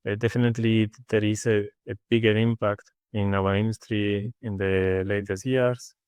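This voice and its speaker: A man from Spain with a Spanish accent, answering interview questions in a halting way with many fillers and restarts. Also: fairly low pitch, clean tone, no room sound. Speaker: interview guest. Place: studio. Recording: dry studio sound.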